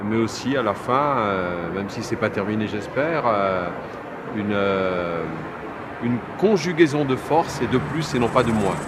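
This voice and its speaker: A middle-aged man from Kabylie talks reflectively, pausing often and filling gaps with hesitations. Traffic and street noise can be clearly heard around him. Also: lowish voice, expressive. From Kabylie, Algeria. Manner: thoughtful, hesitant, many pauses and fillers. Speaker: interviewee, journalist. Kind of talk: reflective interview talk.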